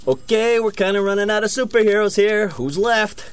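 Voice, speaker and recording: A man's voice: high-pitched, expressive, normal speed, a little hiss or hum. A man playing a weary, reluctant boss running a meeting in a comedic audio drama. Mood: weary, resigned.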